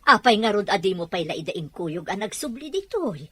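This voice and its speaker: A woman's voice: mid-range voice, sing-song, moderate pace, bright, forceful tone, slightly rough, full character voice. A woman playing a caring, generous, motherly older woman in an audio family drama. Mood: warmly pleased. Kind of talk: dramatized audio story acting.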